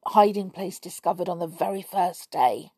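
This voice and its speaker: A woman's voice: fairly low pitch, lively intonation, moderate pace, warm tone, slightly rough, dry recording. Woman doing a stern bossy schoolboy. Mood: scolding. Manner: scolding, stern. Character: bossy young boy, leader of a secret club.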